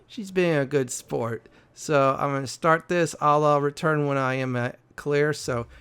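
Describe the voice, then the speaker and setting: A man giving casual, halting gameplay commentary as a gaming channel host. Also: a little high, fairly bright tone, clear, resonant tone. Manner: halting, frequent hesitations.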